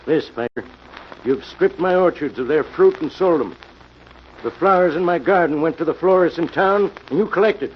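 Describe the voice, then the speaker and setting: An actor playing an irascible, suspicious old sea captain in a radio mystery, domineering and scolding. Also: male voice, fairly high pitch, moderate pace, lightly acted. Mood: stern, accusing. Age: older. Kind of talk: 1940s radio mystery acting. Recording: dull, noisy old radio recording.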